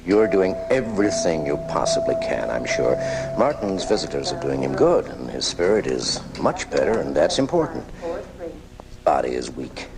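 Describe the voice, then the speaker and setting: A man's voice: rumbling low, big pitch swings, normal speed, creaky, over loud music, exaggerated voice. A man playing a grave, compassionate doctor in a 1980s live radio drama, heard on a home recording of the broadcast.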